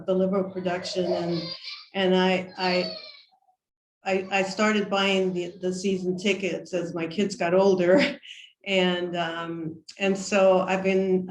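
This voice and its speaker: A woman in her fifties from San Francisco speaks warmly and earnestly, her pitch staying fairly even. Her voice carries a roomy echo over a video call. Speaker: committee member. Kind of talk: casual self-introduction.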